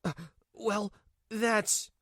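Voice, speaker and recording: A man's voice: sits high, level tone, drawn-out words, a bit bright, no room sound, studio quality, exaggerated voice. A man voicing a whiny, cowardly cheating husband in a manga dub, stammering and pleading. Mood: cornered, hesitant.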